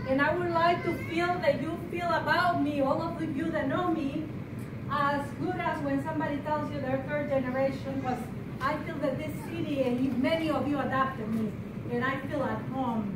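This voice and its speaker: A woman's voice: high-pitched, steady tone, normal speed. A middle-aged or older woman from El Salvador who grew up in New York, giving an impassioned, emphatic live campaign speech. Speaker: candidate for re-election in a local party. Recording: roomy, far-off microphone, background noise.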